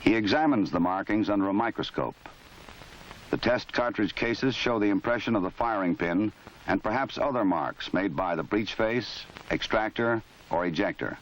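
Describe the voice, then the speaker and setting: A man giving formal, measured scripted narration for an old training film. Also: lowish voice, animated, a little hiss or hum. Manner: measured, formal, matter-of-fact. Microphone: voice-over. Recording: dull lo-fi 1970s film soundtrack.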